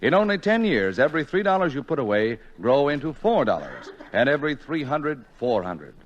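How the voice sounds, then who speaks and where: Male radio announcer, scripted ad read, lively intonation, mid-century broadcast style, muffled lo-fi recording. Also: mid-range voice, a little fast. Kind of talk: scripted 1940s-50s radio advertisement. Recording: dull vintage radio recording.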